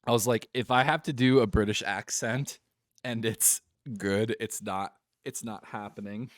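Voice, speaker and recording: A man's voice: lowish voice, steady tone, no room sound, studio quality. A man giving casual, joking, sweary commentary while playing a visual novel on a live stream. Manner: joking, irreverent, sweary, quick to giggle.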